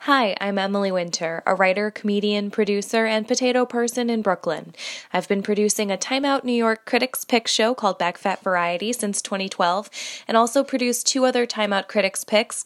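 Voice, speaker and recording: A young woman hosting a comedy podcast, chatty and upbeat, with a cold. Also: medium pitch. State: has a cold. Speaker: podcast host. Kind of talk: casual interview chat.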